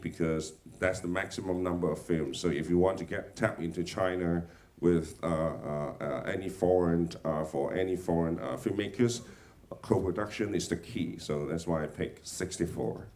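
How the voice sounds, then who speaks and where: A man speaking off the cuff as a film industry panelist, haltingly, with frequent stammers and repeated words. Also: low voice, darkish tone, distant mic. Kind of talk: unscripted panel remarks. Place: panel at a film market event.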